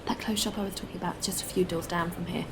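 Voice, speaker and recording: A woman playing a kind, anxious, protective space traveller in an audio drama, earnest and expressive. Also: lowish voice, fast talker, noisy background, close to an everyday voice. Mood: kind, friendly.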